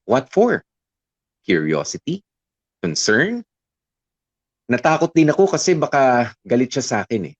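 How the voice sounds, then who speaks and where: A man's voice: medium pitch, sing-song, measured pace, pauses often, no echo. A man narrating a first-person letter on radio in an earnest, confiding way. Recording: dry dull radio studio sound.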